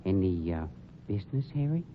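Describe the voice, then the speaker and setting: An actor playing a polished, sinister funeral home owner, sarcastic and condescending, in old radio mystery style. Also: male voice, sits low, very animated, a little fast. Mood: dry sarcasm. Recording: dull, noisy old radio recording.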